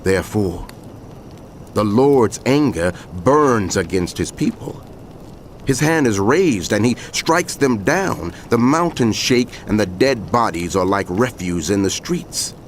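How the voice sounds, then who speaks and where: A man's voice: on the low side, lively intonation, slow pace, a little hiss or hum. A man playing a solemn prophet who proclaims woe in an emphatic, declamatory way in dramatized scripture narration. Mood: grave warning.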